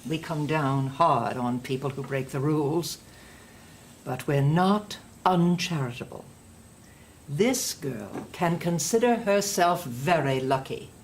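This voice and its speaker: Slow, preachy, stern indoctrinator woman. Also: deep voice, lively intonation, a little hiss or hum, exaggerated voice. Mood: stern, reproachful. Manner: preachy, measured, condescending. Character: stern older female indoctrinator, sanctimonious and controlling. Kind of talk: audio drama acting.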